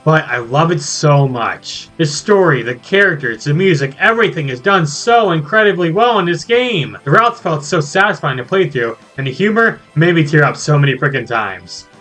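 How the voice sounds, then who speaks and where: A man's voice: fairly high pitch, expressive, normal speed, hardly any pauses, fairly bright tone. A man narrating a scripted video game review in an enthusiastic, jokey and exclamatory manner. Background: music under the voice.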